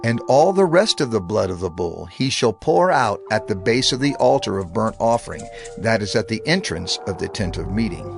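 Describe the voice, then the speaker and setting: A man narrating scripture in a measured, reverent reading with expressive rise and fall. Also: lowish voice, vocal fry. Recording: narration over background music.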